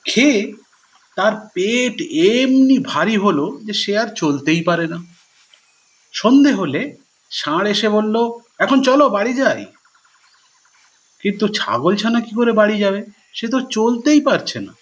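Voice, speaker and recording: A man telling children's stories expressively and pausing to explain words as he goes. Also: high-pitched, big pitch swings, rough recording. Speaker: storyteller. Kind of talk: children's storytelling with word explanations.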